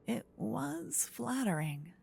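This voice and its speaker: A woman softly narrating a scripted fantasy ASMR story, breathy and often close to a whisper on a binaural mic. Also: a little high, sing-song, slow. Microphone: close binaural microphone.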